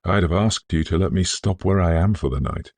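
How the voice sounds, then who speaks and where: Storybook role: disowned young gentleman played by a man, well-spoken, bitter and weary, with a creaky edge. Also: sits low, brisk, no echo. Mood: surprised, weary.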